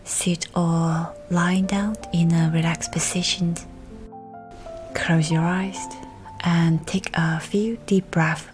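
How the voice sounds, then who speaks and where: A woman reading a scripted guided meditation in a soft, soothing, breathy delivery. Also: on the low side, steady tone, slow. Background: music.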